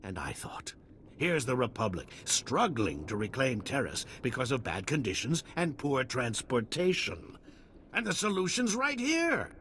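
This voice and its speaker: An actor playing a flamboyant, self-amused tech salesman in a video game, pitching theatrically with joking asides and dramatic pauses. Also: a bit bright, slight background noise. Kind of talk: video game character acting.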